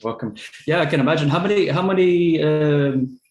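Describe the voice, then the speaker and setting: A man's voice: on the high side, moderate pace, darkish tone, muffled recording. Modest male moderator in a roomy room. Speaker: moderator and translator. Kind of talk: moderating a book talk, reading a translation. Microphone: video call.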